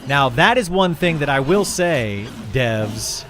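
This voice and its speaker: A man's voice: mid-range voice, animated, slow, fairly bright tone. A middle-aged man, a video game streamer, talking casually and a bit ramblingly as he answers viewers on a livestream. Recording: clear background noise and ambience.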